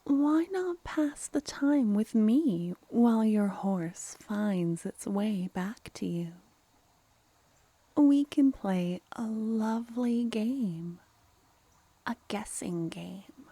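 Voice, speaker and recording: Female vampire played by a woman for ASMR fantasy roleplay: regal, mischievous, coaxing, very slow delivery, soft and breathy, close dry sound. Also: mid-range voice, dry recording, exaggerated voice. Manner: slow, teasing, mock-polite.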